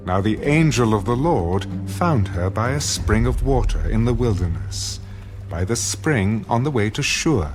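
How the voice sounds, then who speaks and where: Male narrator for scripture drama; slow, solemn, expressive rise and fall. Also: lowish voice. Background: music.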